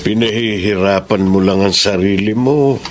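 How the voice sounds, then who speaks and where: A man's voice: lowish voice, moderate pace, loud music behind the voice, natural voice. An actor playing a worried, tender middle-aged father in a Filipino radio drama. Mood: concerned.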